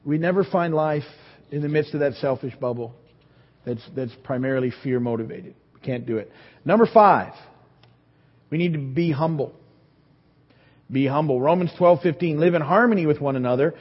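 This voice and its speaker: A man's voice: on the high side, normal speed, pauses often. A man in his fifties preaching a sermon, telling a story about himself with self-deprecating humor. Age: 50s. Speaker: pastor. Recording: dull sound in a reverberant hall.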